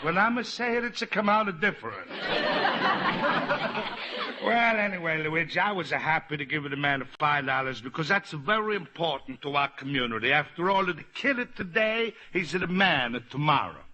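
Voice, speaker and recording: A man's voice: on the high side, expressive, moderate pace, muffled recording, natural voice. An actor playing a sly, overbearing Italian immigrant father who wheedles and boasts in broken English with an Italian accent, in old radio comedy style. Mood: boastful, jovial. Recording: noisy vintage radio recording.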